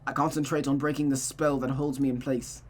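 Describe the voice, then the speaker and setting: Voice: mid-range voice, a little fast, slight background noise. A streamer reading a visual novel aloud expressively, with dramatic narration and casual asides. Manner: expressive, often restarts misread sentences.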